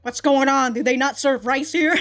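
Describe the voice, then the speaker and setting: A woman's voice: sits high, fairly even intonation, normal speed, fairly bright tone, faint music behind. A woman giving casual, off-the-cuff reaction commentary in a slangy, profane, giggly manner. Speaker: anime reaction reviewer.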